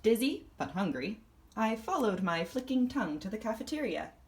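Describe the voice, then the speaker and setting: Woman reading as a vain, dramatic lizard, very animated. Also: mid-range voice, moderate pace. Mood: eager, proud. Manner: self-important, theatrical. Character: vain, dramatic male class pet lizard journaling his adventures. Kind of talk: first-person children's storybook read-aloud.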